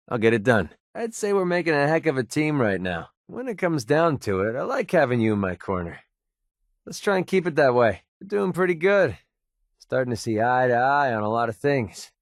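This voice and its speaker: A young mercenary played by a male actor for a video game, sardonic yet loyal. His voice sits at a medium pitch, with a wry, colloquial delivery. The recording is dry, with no room sound. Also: no echo, close to an everyday voice. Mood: easygoing.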